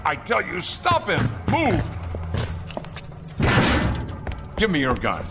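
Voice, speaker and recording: A man's voice: high voice, huge pitch swings, moderate pace, loud music behind the voice, heavily acted. An actor playing a cold, arrogant factory owner in an audio drama, lecturing pompously about his machines. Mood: furious, commanding. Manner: pompous, lecturing, smooth salesman's patter.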